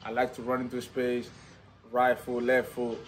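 A man's voice: mid-range voice, monotone, normal speed, low-quality recording. Footballer type: young man in his twenties, Spanish accent, eager second-language English, outdoors in stadium seating with a faint hiss. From Spain. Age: 20s. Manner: enthusiastic, non-native English with simple phrasing. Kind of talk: post-signing interview.

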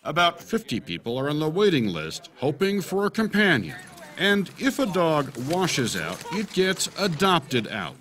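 A man's voice: fairly high pitch, wildly swooping pitch, a little slow, a bit bright. An American man in his sixties narrating a scripted television news feature as a correspondent.

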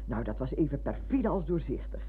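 Exasperated peacemaking wife, radio drama, halting pleas, narrow-band recording, slight noise. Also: female voice, extremely low pitch, sing-song. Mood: placating. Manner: halting, pleading, repetitive. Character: exasperated, pleading wife soothing quarrelling men. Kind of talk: Dutch radio drama acting. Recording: hissy, humming, phone-like radio recording.

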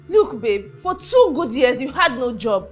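A woman's voice: on the high side, very animated, moderate pace, faint music behind. An actress playing a devoted wife who pleads with and confronts her husband, often repeating herself. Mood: hurt.

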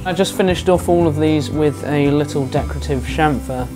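A young adult man, host of a woodworking channel, calmly narrating each step of a build in an instructional voice-over. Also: fairly high pitch, normal speed. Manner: calm, explains each step plainly. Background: background music.

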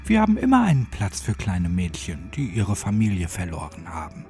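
Man voicing a warm motherly orphanage woman. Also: sits low, wildly swooping pitch, normal speed, soft background music, voice pushed down. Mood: warm, welcoming. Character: stout, tired, kindly motherly orphanage woman.

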